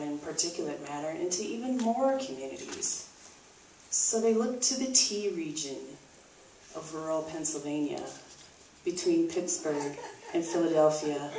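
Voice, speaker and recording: A woman narrating a puppet show in a scripted, storybook style with a wry manner. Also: low-pitched. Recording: roomy, distant microphone, faint noise.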